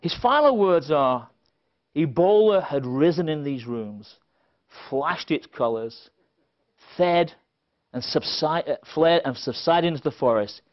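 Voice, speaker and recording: A middle-aged man speaks from a conference podium in a fairly high, very animated voice. He delivers a prepared lecture with wry asides and pointed emphasis. The recording sounds a little dull. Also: a little slow. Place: indoor stage at a conference podium. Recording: slightly dull, band-limited event recording.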